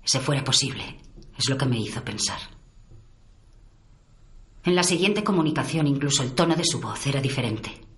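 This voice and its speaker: Hardened agent for radio drama, played by a woman: deep-set female voice, terse, no-nonsense. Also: lively intonation, moderate pace, pauses often, fairly bright tone. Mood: cool recounting. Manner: terse, matter-of-fact. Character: hardened female field agent, curt and instinctive.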